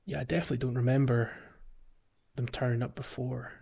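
A man's voice: mid-range voice, steady tone, a little fast, creaky, mellow, husky, highs cut off. A man hosting a podcast in casual chat, thoughtful and a little hesitant as he talks. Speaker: podcast host.

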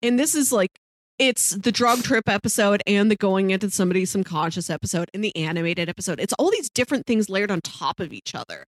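A woman's voice: medium pitch, animated, fairly quick, dry recording. A woman co-hosting a podcast in casual chat, talking with enthusiasm and strong opinions about what she watches. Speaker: podcast co-host discussing a TV series.

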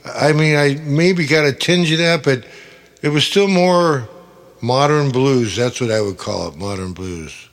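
A man in his late sixties hosting a radio podcast, chatty and enthusiastic, with quick interjections. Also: mid-range voice. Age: 60s. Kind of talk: casual conversational interview.

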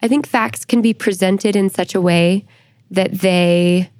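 A woman with a mid-pitched voice explains things on a podcast as a guest historian. She speaks slowly and deliberately, in measured phrases. The sound is very dry. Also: fairly even intonation, a little mellow, no room sound.

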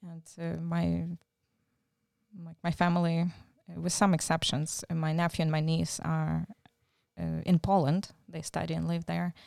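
Ukrainian woman, 30s, interview guest; low, dry, hesitant and reflective. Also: monotone, normal speed, creaky, dark tone, no echo. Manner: thoughtful, hesitant with many fillers. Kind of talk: casual interview.

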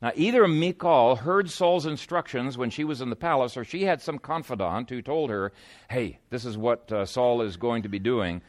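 A man delivers an expository sermon in a conversational, informal way. His voice sits at an average male pitch. He hesitates as he looks for words and uses rhetorical questions and personal storytelling to walk through the text. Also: normal speed. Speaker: pastor preaching to a congregation.

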